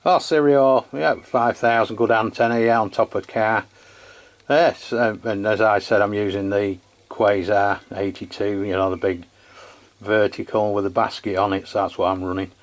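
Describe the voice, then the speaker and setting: A man with an English accent and a fairly low voice talks in a casual, chatty way. He sounds like a radio hobbyist having an informal two-way conversation, plain and matter-of-fact. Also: fairly even intonation, moderate pace. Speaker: CB radio hobbyist filming a radio test.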